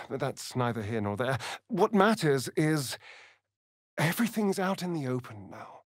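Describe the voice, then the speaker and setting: A flamboyant, sardonic vampire aristocrat from a fantasy video game, with an English accent. His delivery is theatrical and drawling, leaping up and down in pitch with arch relish. It is recorded close and dry, at an unhurried pace. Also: very animated, dry recording. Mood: dramatic, teasing.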